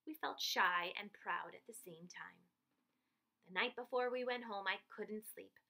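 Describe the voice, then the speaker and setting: A woman reading a picture book aloud for children as an expressive, explanatory storyteller. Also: mid-range voice, a little slow, gravelly. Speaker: read-aloud host and storybook reader.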